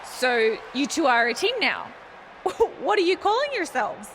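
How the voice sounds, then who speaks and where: An actress playing a smug, catty Australian wrestler who hosts a talk show in a showy, mocking manner. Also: female voice, high voice, very animated, unhurried, background noise, exaggerated voice. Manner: mocking, showy, self-important. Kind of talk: theatrical wrestling promo.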